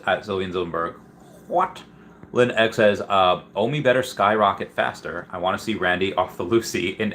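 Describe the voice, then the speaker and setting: A man's voice: lowish voice, sharp tone. A man from Peru hosting a casual livestream, reading out and answering viewer comments in a rambling, stop-start, slangy way. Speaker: livestream host.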